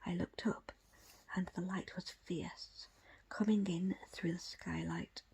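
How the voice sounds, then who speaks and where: A woman whispers her way through a book reading in ASMR style. Her voice is very breathy and almost entirely unvoiced, with a slightly low, crackly edge. The phrasing is hushed and measured, broken by small pauses. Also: fairly even intonation. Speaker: book reader presenting an audiobook extract.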